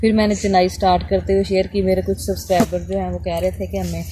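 A woman's voice: medium pitch, little pitch movement, fast talker, warm tone. A woman with a Punjabi accent, vlogging casually outdoors in a cotton field and explaining things in a chatty way full of filler phrases. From Punjab, Pakistan. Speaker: village vlogger. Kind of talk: casual vlog narration. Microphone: handheld phone camera. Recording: noisy outdoor phone recording.

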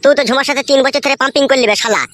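Man doing a rapid-fire, high-pitched hot-headed cartoon guy. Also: fairly bright tone. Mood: defiant. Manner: foul-mouthed, belligerent. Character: stubborn, lovesick, hot-headed married young man. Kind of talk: crude comedy cartoon dialogue.

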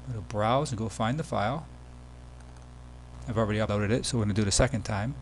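Low-key man narrating, faint hum behind. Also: fast talker, poor audio. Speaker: software demo presenter. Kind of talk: casual screencast tutorial narration. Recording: background hum and noise.

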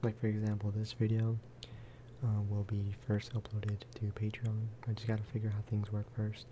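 A man talks softly through a video game in a relaxed ASMR style. His voice sits a little low and barely moves in pitch as he rambles casually. There is clear noise behind him, with clicking. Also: moderate pace. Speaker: gaming video creator. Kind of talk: soft-spoken ASMR game commentary.

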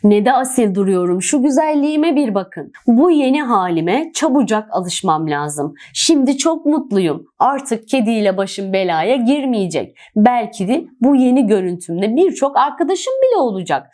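Woman voicing a lively, excitable little storybook animal. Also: fairly high pitch, normal speed, hardly any pauses. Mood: delighted, proud. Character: small sparrow turned elephant, then horse, regretful, excitable.

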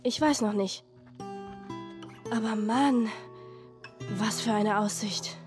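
A woman's voice: fairly high pitch, music in the background. An actress playing a scrappy, defensive teenage girl survivor in a video game, earnest and blunt. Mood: reflective. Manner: earnest, halting, foul-mouthed. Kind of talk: dramatic video game dubbing.

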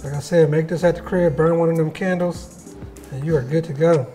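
A man's voice: fairly high pitch, steady tone, brisk, mellow. An American man in his thirties hosts a cooking show, chatting casually as he explains steps and asks questions. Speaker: cooking show host and chef. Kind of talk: casual cooking demonstration and interview chat. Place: home-style kitchen, indoors. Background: music.

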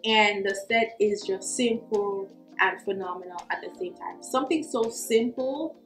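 A woman's voice: mid-range voice, measured pace, a bit bright, reverberant. A young woman chatting casually as a fashion vlogger, emphatic and slangy, drawing words out for effect. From Jamaica. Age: young adult. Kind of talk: casual chatty lookbook commentary. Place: small furnished room at home, indoors. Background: faint music.

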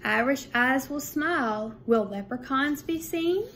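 A woman, a grade-school teacher speaking in measured, simple phrases as in a read-aloud for young children. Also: sits high, expressive, fairly bright tone. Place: small room at home, indoors. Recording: clear background room noise.

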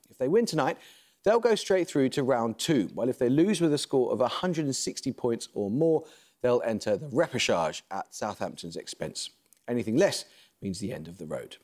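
A man, hosting a quiz show in a TV studio. He introduces the teams and reads the questions in a crisp, measured, formal way, with dry asides. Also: medium pitch, darkish tone. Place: large TV studio set, seated at a desk.

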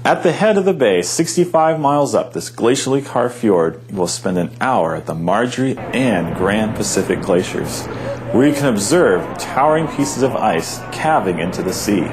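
A man's voice: fairly low pitch, lively intonation, normal speed, background noise. A man working as a park ranger, giving visitors upbeat scripted advice in a guide's delivery. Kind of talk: scripted informational narration.

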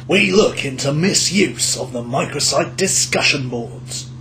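A man's voice: a little high, animated, unhurried. A man playing an earnest, self-important British TV reporter in a mock investigative news show, with dramatic, portentous delivery. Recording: roomy voice with music behind.

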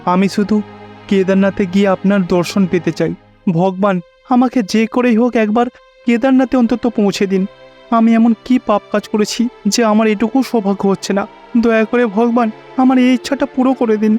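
A man's voice: sits high, fairly even intonation, moderate pace, darkish tone, lo-fi sound, soft background music, lightly acted. A man voicing a poor, pious middle-aged husband in a cartoon story, plaintive and pleading as he longs for a pilgrimage. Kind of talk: Bengali cartoon story dubbing.

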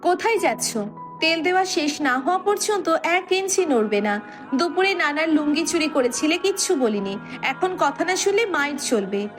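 A woman voicing a sharp-tongued young wife and mother in an audio story, firm, exasperated and scolding. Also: sits high, animated, normal speed, faint music behind. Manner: scolding, no-nonsense.

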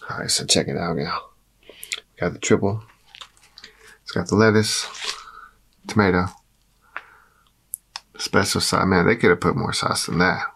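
A man in his forties with a raspy, slightly hoarse voice, chatting casually while eating a meal on camera. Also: deep voice, steady tone, unhurried, pauses often, fairly bright tone. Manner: chatty, eats and talks with mouth full. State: hoarse from a cold. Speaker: food vlogger. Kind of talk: casual mukbang chat. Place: dark room at a table.

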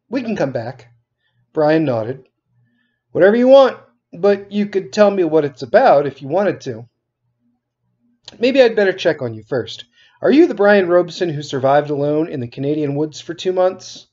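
A man's voice: a little high, mellow. A middle-aged man, a teacher reading aloud to students from his couch at home. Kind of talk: read-aloud of a children's novel with casual introduction. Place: living room at home, on a couch. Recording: dull, lo-fi webcam sound.

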